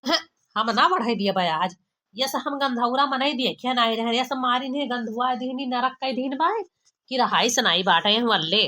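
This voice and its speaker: A woman's voice: a little high, moderate pace, pushed and bright. A woman voicing a young village daughter-in-law with an Awadhi accent, complaining dramatically and colloquially in an amateur cartoon comedy. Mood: scolding, disgusted. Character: exasperated, embarrassed young village daughter-in-law, gossipy and scolding.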